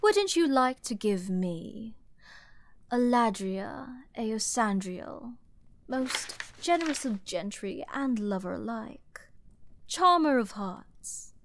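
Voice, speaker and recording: Arrogant fantasy princess: lightly high-pitched, very unhurried, haughty and playfully seductive, audio-drama delivery. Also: female voice, dry recording. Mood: sly, flirtatious. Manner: haughty, grandiose, playful aristocratic drawl with ornate diction. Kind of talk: scripted dramatic ASMR roleplay addressed to the listener.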